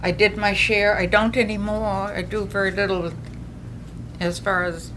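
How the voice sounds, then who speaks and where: A woman's voice: mid-range voice, level tone, normal speed, background noise. An older woman with a New England accent gives earnest personal testimony at a recovery meeting, telling her story with frequent restarts.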